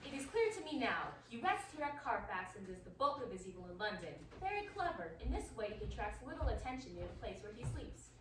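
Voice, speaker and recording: A woman playing an earnest, commanding vampire-hunting professor in a deliberate, theatrical radio-play style. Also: a little high, big pitch swings. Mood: grave, certain. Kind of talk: stage-like radio drama acting. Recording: echoey room, distant mic, noisy.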